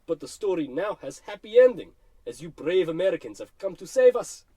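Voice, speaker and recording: A man's voice: sits high, sing-song, brisk, mellow, slightly rough, no echo, heavily acted. An actor playing a solemn, polite old Russian grandfather with a Russian accent, telling his tale grandly in a radio comedy. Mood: grateful, hopeful.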